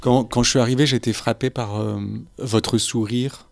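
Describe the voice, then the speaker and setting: Flat-voiced man narrating reportage. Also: medium pitch, moderate pace, no echo. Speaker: podcast host and reporter. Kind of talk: first-person reportage narration. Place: in a car.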